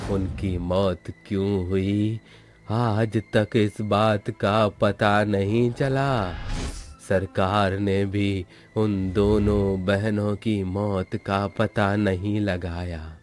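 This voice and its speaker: A man's voice: on the low side, flat delivery, very slow, a little mellow, soft background music. A man narrating a scripted horror story.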